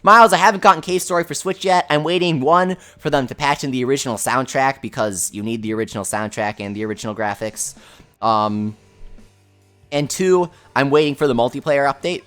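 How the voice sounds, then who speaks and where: A man hosting a game livestream with a high voice, answering viewer questions in a chatty, offhand way full of fillers. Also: a little fast, fairly bright tone, faint music behind. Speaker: livestream host. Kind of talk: casual livestream chat, reading viewer questions.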